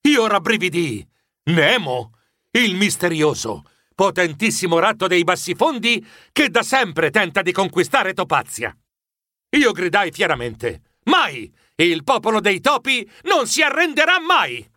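An actor playing a timid, excitable mouse who narrates a children's story in a fretful, theatrical manner. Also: male voice, high voice, measured pace, no room sound, clean studio sound, heavily acted. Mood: alarmed, dramatic. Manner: animated, fretful, dramatic.

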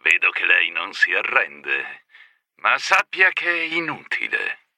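A sinister rat mastermind, speaks in a deep, forceful voice with slow, hissing menace. His all-knowing delivery swings dramatically in pitch. It is villain acting for a children's audio story. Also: huge pitch swings, creaky, no room sound, studio quality, exaggerated voice. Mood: cold menace. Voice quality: sibilant, hissing. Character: sinister, mysterious male rat underworld mastermind.

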